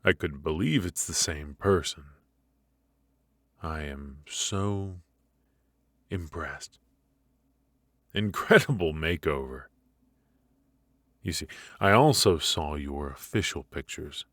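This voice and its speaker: Man playing a very deep-voiced, menacing mafia boss. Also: animated, dry recording, heavily acted. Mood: flirtatious, intrigued. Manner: slow, halting, mock-polite, softly taunting. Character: menacing, teasing male mafia boss, calmly threatening. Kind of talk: ASMR roleplay acting.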